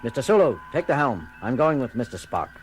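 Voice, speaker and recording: Starship captain male actor: commanding, theatrical, very varied delivery, clear music underneath, sci-fi audio drama. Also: mid-range voice, very animated, brisk, lightly acted, low-quality recording. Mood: commanding, urgent. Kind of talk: science fiction audio drama acting. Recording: loud music behind the voice.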